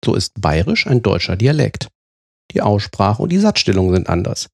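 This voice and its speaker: Man, German-born, animated pitch, dry close sound, explanatory narration. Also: creaky, no echo. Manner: measured, explaining step by step. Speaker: presenter.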